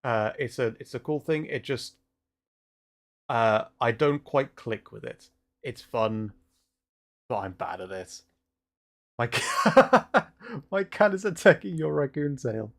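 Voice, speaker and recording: A man hosting a casual livestream chat, speaking haltingly with repeated words and frequent chuckles. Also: medium pitch, animated, creaky, a bit bright. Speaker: livestream host.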